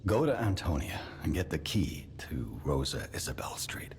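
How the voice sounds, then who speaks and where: A man's voice: extremely low pitch, animated, slight background noise. An actor playing a solemn male patron handing out a dangerous task. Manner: measured, solemn. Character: grave older male patron giving orders.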